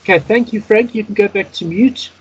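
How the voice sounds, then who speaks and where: A man's voice: high voice, a little fast. Male instructor on a muffled, noisy internet call, methodical word-by-word delivery. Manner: instructional, announcing each item word by word. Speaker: Morse code practice instructor. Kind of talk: step-by-step spoken instruction. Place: Skype call. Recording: dull lo-fi internet call, clear noise.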